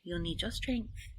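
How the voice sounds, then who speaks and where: A woman playing a gentle, refined and easily flustered fairy princess in a fantasy audio roleplay, polite and soft-spoken. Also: mid-range voice. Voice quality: breathy, soft. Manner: genteel, polite, stammering when nervous.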